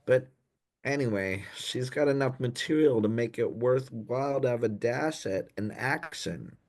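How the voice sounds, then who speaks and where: An actor playing an anxious, dim young gentleman in a comic period radio play, dithering and apologetic in posh old-fashioned slang. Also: mid-range voice, a little slow, natural voice. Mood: fretful. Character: anxious, dim young upper-class Edwardian gentleman in trouble.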